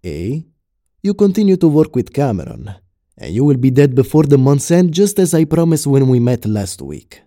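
An actor playing a menacing, taunting gangster boss who sprinkles in Spanish words, in a crime audio drama. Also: male voice, medium pitch, big pitch swings, normal speed, mellow, no room sound, clean studio sound.

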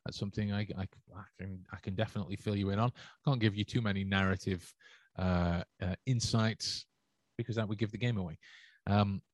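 A man with a low voice hosts a solo podcast stream, reading chat messages and answering at length. He circles back often, restarting sentences and filling gaps with ums. The recording is close and dry, with no room sound. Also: steady tone, a little fast, no echo. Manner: rambling, many restarts and fillers. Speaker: podcast host.

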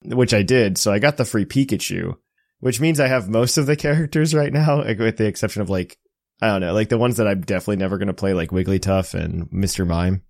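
A man with a fairly low voice hosts a casual podcast. He talks in a joking, self-deprecating way, recorded close and dry. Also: fast talker, vocal fry, a little mellow, dry recording. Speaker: podcast host. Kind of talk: casual chat.

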